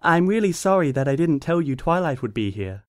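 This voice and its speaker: A man voicing a timid, gentle young female pony who speaks softly and hesitantly, stammering. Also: fairly high pitch, big pitch swings, brisk, dry recording, clean studio sound. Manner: hesitant, soft-spoken, stammering.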